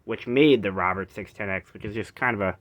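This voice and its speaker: A man's voice: lowish voice, fairly quick. A man casually showing off equipment unscripted, rambling and breaking off as he goes, recorded on a handheld phone. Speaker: vlogger showing vintage reel-to-reel tape recorder. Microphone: handheld phone camera.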